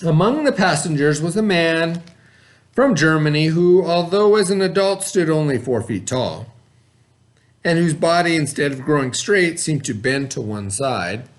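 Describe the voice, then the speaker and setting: A man's voice: on the high side, expressive, a little slow. A man teaching a class, reading a story aloud and stopping to explain words and ask the children questions. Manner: explains and asks the class questions between passages.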